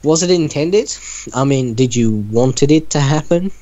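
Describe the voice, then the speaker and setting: A man's voice: on the high side, a little slow, warm tone. A man casually reading a story aloud to friends, bantering and breaking in with sceptical, teasing comments. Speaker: reader in a group reaction show.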